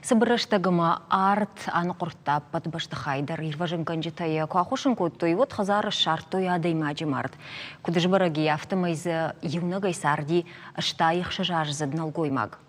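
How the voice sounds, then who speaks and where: A woman with a slightly low voice presents a studio talk show. Her delivery is conversational and a little hesitant, broken by drawn-out pauses.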